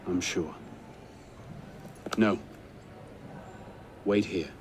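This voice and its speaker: An actor playing a determined young assassin, earnest with a dry wit, in a dramatic video game cutscene. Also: male voice, low-pitched, drawn-out words, darkish tone, a little hiss or hum. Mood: grave. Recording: music behind the voice.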